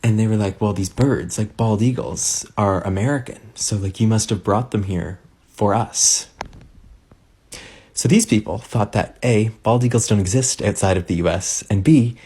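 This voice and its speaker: Deep husky Canadian man, wry. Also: mellow. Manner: laid-back, wry. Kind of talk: casual storytelling to camera.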